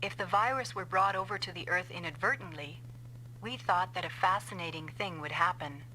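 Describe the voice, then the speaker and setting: An actress playing a vengeful female scientist in an anime-style game dub, explaining coldly and deliberately. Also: fairly low pitch, sharp tone, slight background noise, low-quality recording. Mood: grim, confessing. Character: vengeful female scientist confessing a revenge plot.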